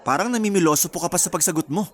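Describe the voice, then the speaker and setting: A man's voice: high-pitched, very fast, natural voice. A man acting a jealous, possessive young groom-to-be with a Filipino accent in a radio drama. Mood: irritated, jealous. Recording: music bed under the voice.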